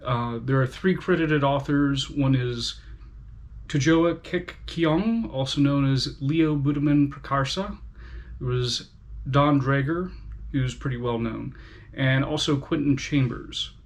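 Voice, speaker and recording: A man talking at an unhurried, deliberate pace as he reviews a book on his channel. His pitch sits in a middle range, and he pauses often to gather his thoughts. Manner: slow, careful, with frequent pauses and fillers. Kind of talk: casual spoken book review.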